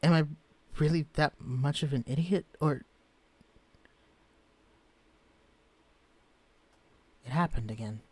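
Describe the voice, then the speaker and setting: An actor playing a shrunken man who is tender but guarded, talking in an earnest, vulnerable, softly confessional way in a scripted audio drama. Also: a little high, brisk, no echo, lightly acted. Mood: shaken, upset. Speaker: romantic lead in an audio roleplay.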